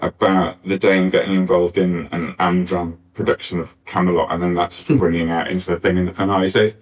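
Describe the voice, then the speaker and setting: A man's voice: low-pitched, level tone, fairly quick. A man speaking informally on a discussion panel, rambling into tangents. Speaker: panel speaker, pantomime scriptwriter. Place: arts centre venue, live panel. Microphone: distant backup recorder. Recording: distant mic backup live recording.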